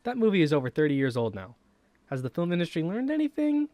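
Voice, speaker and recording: A young man reading a scripted video essay as a student narrator, in a measured, enunciated way with rhetorical questions. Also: on the high side, wildly swooping pitch, dark tone, faint music behind. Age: young adult.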